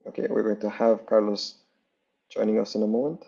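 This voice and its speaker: A man's voice: on the low side, little pitch movement, fairly quick, vocal fry, dark tone, husky. A man hosting an online training over a video call, formally making announcements and introducing the team. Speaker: training host. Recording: dull lo-fi video call audio.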